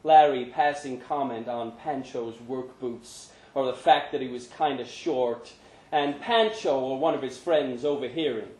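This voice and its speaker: A man's voice: mid-range voice, a little slow, a little mellow. A man narrating a short story dramatically at a live reading. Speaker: story reader. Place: indoor pub event. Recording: roomy live pub reading.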